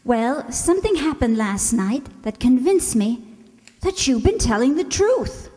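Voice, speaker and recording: A woman acts a spirited young heroine in a radio drama. Her high voice moves with lively swings between nerves and breezy sarcasm, heard in a long hall reverb. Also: measured pace. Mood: earnest. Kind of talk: radio play acting.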